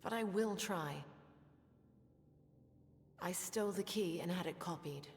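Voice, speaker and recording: An actress playing a quiet, guilt-ridden soldier in a fantasy video game, speaking earnestly and hesitantly. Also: female voice, fairly low pitch, unhurried, slight background noise. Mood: remorseful. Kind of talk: video game character acting. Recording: long reverb, music behind.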